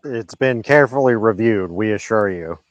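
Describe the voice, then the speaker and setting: A man's voice: fairly low pitch, expressive, moderate pace, no room sound, dull audio. A young man co-hosting a livestream, laid-back, reading chat messages aloud and swearing casually. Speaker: livestream co-host.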